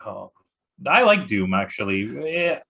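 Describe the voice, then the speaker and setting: A man's voice: on the low side, sing-song, takes it slowly, gravelly, a bit bright, slightly rough. A man in a casual, opinionated discussion, speaking in a blunt, informal and emphatic way. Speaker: guest movie critic.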